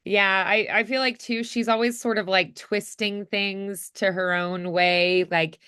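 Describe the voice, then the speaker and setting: A woman working as a voice acting coach, chatting casually in an animated, encouraging way and demonstrating as she goes. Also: mid-range voice, measured pace, smooth. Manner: animated, encouraging, acts out examples.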